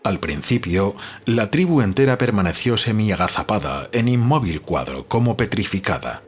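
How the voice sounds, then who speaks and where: A man reading a novel aloud as a narrator, in a measured, solemn storytelling manner. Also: on the low side, expressive. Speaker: audiobook narrator. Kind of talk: scripted literary narration of a novel.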